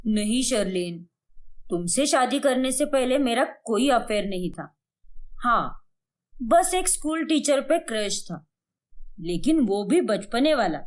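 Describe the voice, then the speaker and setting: A woman's voice: on the high side, very animated, takes it slowly. A woman voicing a confident young husband, casual and protesting, in a cartoon story. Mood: defensive. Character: confident, easygoing young husband and indulged son.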